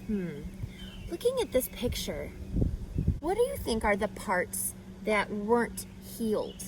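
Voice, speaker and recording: Female children's read-aloud host, very lively intonation, deliberate and questioning, faint noise behind the voice. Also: medium pitch. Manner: expressive, deliberate, addresses child listeners directly.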